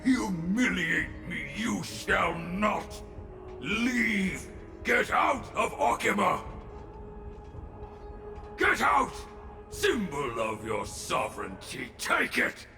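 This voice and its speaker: A bitter, half-mad warrior from a fantasy game. His high voice swoops wildly as he draws out archaic curses in theatrical anguish. It echoes over music. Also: big pitch swings, very slow, long pauses, exaggerated voice. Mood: furious. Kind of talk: scripted video game voice acting.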